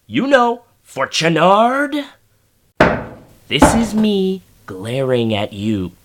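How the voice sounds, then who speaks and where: A man's voice: on the high side, sing-song, very slow, very noisy, exaggerated voice. A man playing a pompous, petulant mad scientist supervillain in a comic radio play, theatrical and easily exasperated.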